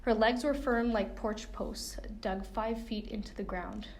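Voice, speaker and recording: A woman's voice: a little high, steady tone, measured pace, darkish tone. A woman reading a first-person coming-of-age novel excerpt as narrator. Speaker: reader of a book excerpt.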